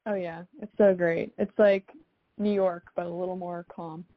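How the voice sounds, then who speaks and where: A woman's voice: fairly low pitch, fairly even intonation, moderate pace, dry recording, phone-quality sound. A young woman from South Florida chatting casually as an interview guest, peppering her answers with youthful slang. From South Florida, United States. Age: young adult. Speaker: singer-songwriter guest.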